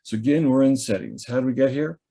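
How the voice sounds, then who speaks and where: A man teaching staff a software tool over a video call, in a relaxed, chatty way with many fillers as he thinks aloud. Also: mid-range voice, fast talker, creaky, breathy edge. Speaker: instructor. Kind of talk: screen-share software training. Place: video call from a home or office. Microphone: computer or headset mic on a video call.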